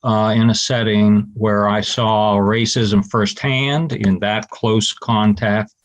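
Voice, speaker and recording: Flat, low-voiced older man. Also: takes it slowly. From Pittsburgh. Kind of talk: personal reflection.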